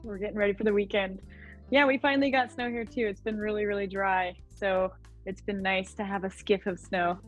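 A woman's voice: mid-range voice, fairly even intonation, a little fast, soft background music. A woman from Idaho, a podcast guest, chatting in an upbeat way and laughing often. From Idaho, USA. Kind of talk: casual conversation.

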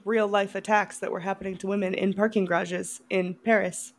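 A woman's voice: medium pitch, little pitch movement, brisk. A woman co-hosting a podcast in casual chat, with a teasing, drily sarcastic way of talking. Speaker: podcast co-host. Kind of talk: casual chat about horror movies.

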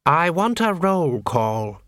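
A man voicing a cold, curt male head of a guild of assassins, snapping orders in a slow, raised voice. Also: high-pitched, animated, no room sound, clean studio sound, pitched above the performer's own voice. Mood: commanding. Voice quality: sharp, curt, commanding.

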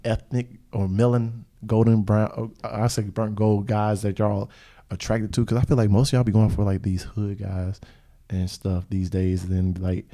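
Man, podcast host, lowish voice, loose and joking, swears a lot, laughs often. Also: little pitch movement, normal speed, dark tone. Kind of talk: casual podcast chat.

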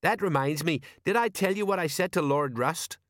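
An older police sergeant, acted out by a male audiobook reader, pitched up from the reader's own voice. He is chatty and defensive, rambling along in folksy, working-class speech. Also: moderate pace, fairly bright tone, dry recording, studio quality, close to an everyday voice. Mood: exasperated.

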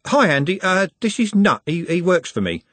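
A man voicing a rough but good-natured young working-class man in a storybook, blunt and slangy. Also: high-pitched, very animated, moderate pace, a bit bright, no room sound, voice pushed up, full character voice. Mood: nervous, hurried.

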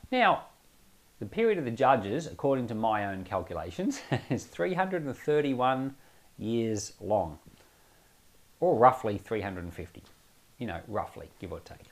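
A man speaking as a pastor, reading scripture aloud and explaining it informally, with expressive and emphatic phrasing. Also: medium pitch.

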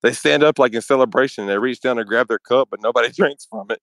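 Laughing, profane young podcast host. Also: male voice, medium pitch, quick pace, fairly bright tone, dry recording, low-quality recording. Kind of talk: casual podcast banter.

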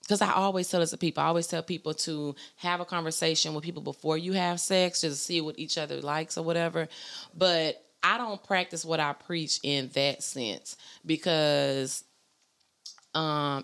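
A woman's voice: low voice, normal speed, fairly bright tone, clear, resonant tone. A woman in her late thirties hosting a casual talk show, brash and laughing, calling everyone baby. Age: 30s. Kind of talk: casual chat.

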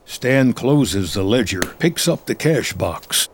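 A man's voice: medium pitch, animated, slow pace. Male screenplay narrator, noticeable background noise. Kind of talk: scripted screenplay narration, present tense.

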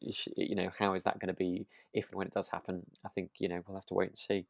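A man's voice: low voice, flat delivery, fast, vocal fry, dry recording, clean studio sound. A man co-hosting a podcast, chatting casually in a tentative, hedging, conversational way. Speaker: podcast co-host. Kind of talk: relaxed chat discussing a radio drama.

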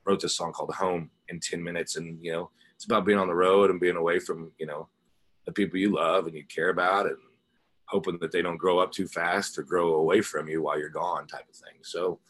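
A man with a low voice speaks as a guest on a music interview. He is laid-back and conversational, leaning on "you know" as he goes. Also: fairly even intonation, brisk, fairly bright tone, slightly rough. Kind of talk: casual chat, personal anecdotes.

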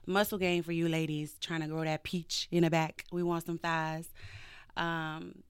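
Upbeat fitness coach in her thirties, low voice, from Atlanta, dry studio sound. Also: level tone, a little slow, smooth, no echo. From Atlanta, Georgia. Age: 30s. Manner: upbeat and playful. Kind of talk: casual interview chat.

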